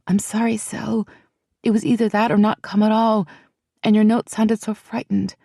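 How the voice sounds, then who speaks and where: A woman's voice: medium pitch, flat delivery, normal speed, creaky, dark tone, no echo, clean studio sound, pitched above the performer's own voice. A woman voicing an earnest young woman who speaks firmly and reassuringly. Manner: earnest and firm.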